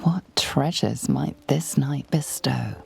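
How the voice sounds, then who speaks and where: A woman playing a calm, knowing fantasy game narrator, measured and intimate in a dramatic scripted style. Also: rumbling low, creaky, exaggerated voice. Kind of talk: scripted second-person narration.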